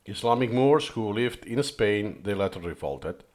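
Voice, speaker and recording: A man's voice: fairly low pitch, animated, a little slow, darkish tone. A man whose first language is Catalan, thinking aloud as he comments on a video, digressing and correcting himself as he goes. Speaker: video host reviewing footage.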